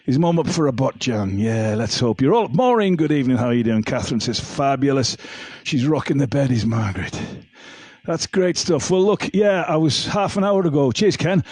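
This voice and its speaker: A man with a slightly croaky voice, hosting a casual livestream of rock and roll songs and chatty banter. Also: mid-range voice, animated, normal speed, darkish tone. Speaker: amateur singer hosting a livestream singalong. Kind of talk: casual banter with online viewers; live rock and roll singing with guitar. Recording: home livestream with music behind. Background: guitar and backing music.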